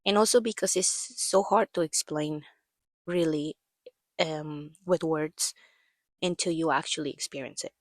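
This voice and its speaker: A woman's voice: low-pitched, unhurried, no room sound, clean studio sound. A woman in her thirties telling a personal story as a podcast host, rambling and hesitant with many fillers, her voice worn from allergies and coughing.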